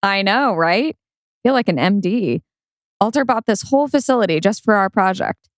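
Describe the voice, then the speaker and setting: A woman playing a warm, playful wife who is a brain researcher, in a script read-through. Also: mid-range voice, animated, moderate pace, no room sound, clean studio sound, natural voice. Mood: bright, playful. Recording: music playing behind.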